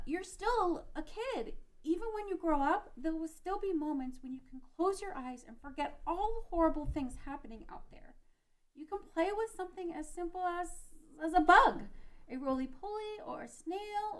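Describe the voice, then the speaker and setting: A woman's voice: very high voice, lively intonation, moderate pace. A woman playing a compassionate, encouraging young heroine in an amateur fantasy table read, speaking earnestly. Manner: earnest, consoling.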